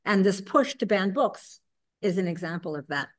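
A woman's voice: medium pitch, expressive, moderate pace. A woman moderating an academic panel, speaking articulately with some restarts. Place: conference room panel table.

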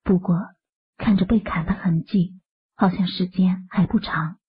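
A woman voicing an anxious young woman stranded on an island, speaking low and half-whispered in an audio drama. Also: steady tone, unhurried, breathy edge, muffled recording. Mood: uneasy whisper.

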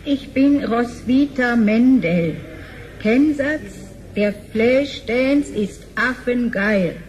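Actress as very old woman, impatient customer, higher-pitched, slow, deliberate, sci-fi radio drama. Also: a little mellow, a little hiss or hum, poor audio. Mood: dutiful. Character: elderly woman bank customer, aged ninety-two, impatient.

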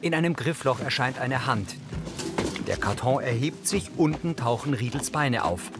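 A man's voice: medium pitch, animated, moderate pace, over music. A man reading scripted audio description in a neutral, matter-of-fact way.

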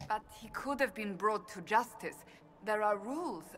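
A woman's voice: mid-range voice, lively intonation, moderate pace, fairly bright tone, a little hiss or hum, close to an everyday voice. An actress playing a principled, skeptical woman companion in a fantasy game, formal and questioning. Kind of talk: video game voice acting.

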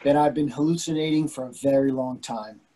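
Husband and father older man actor: husky, slightly worn voice, earnest, fretful, needling, conversational play reading. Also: medium pitch, steady tone, fairly quick, mellow, lightly acted, low-quality recording. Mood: tender. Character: anxious, fretful middle-aged husband and father. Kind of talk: readers theatre play reading. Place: indoors, on a video call.

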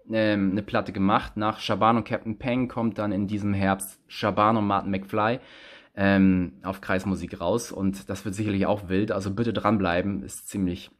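A man in his thirties, a rapper, telling a story and chatting casually into a phone held close at arm's length in a small room, with lively, animated pitch swings. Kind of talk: casual selfie vlog storytelling.

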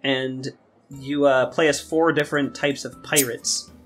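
A young man chatting casually and rambling, often halting and repeating his words. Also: medium pitch, steady tone. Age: young adult. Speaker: podcast guest and game maker. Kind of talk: casual chat. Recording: music playing under the talk. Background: guitar music.

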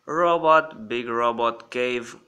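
Male app-review host, very bright and clear, halting casual delivery. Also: medium pitch. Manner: halting, repeatedly checking in with "okay". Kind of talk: casual screen-recorded app walkthrough.

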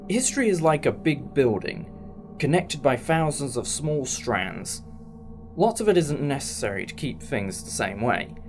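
A man's voice: mid-range voice, a little mellow, a little hiss or hum, close to an everyday voice. A man acting in a fan audio drama as a thoughtful, reassuring alien time traveller who explains things in a musing, conversational way. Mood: reassuring, wise.

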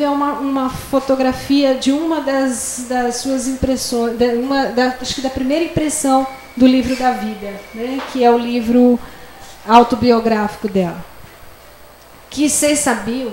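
High-voiced woman lecturing in an echoey room. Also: darkish tone, slight background noise. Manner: chatty, asides with "né?". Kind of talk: classroom lecture with slides. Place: small lecture room with projector screen. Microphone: handheld microphone. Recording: echoey room, faint ambience.

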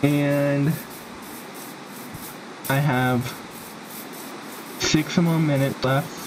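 A man giving casual gameplay commentary in a halting way, with sentences that trail off. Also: on the high side, fairly even intonation. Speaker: gaming video host. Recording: very noisy home game recording with clicks.

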